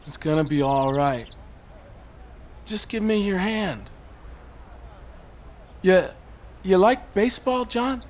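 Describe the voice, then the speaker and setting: An actor playing a bitter, unhinged killer in an audio drama, brooding and sardonic in a theatrical way. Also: male voice, high-pitched, expressive, takes it slowly, long pauses. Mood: sardonic, resigned. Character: bitter, unhinged male killer taunting a policeman from a ledge. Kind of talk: modern audio drama acting.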